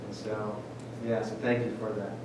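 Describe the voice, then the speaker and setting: Chatty priest in an echoey hall. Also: male voice, on the low side, moderate pace. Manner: animated, filler-heavy, lots of "like". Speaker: priest giving a parish talk. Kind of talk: casual talk and Q&A. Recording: echoey church hall, distant mic, noisy.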